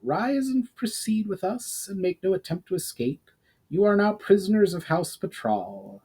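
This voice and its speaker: Stern young woman captain, played by a male reader: raised pitch, commanding, suspicious, fantasy audiobook. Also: lively intonation, moderate pace, mellow, husky. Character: stern, dutiful young female soldier captain in her twenties. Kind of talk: fantasy storybook character voice.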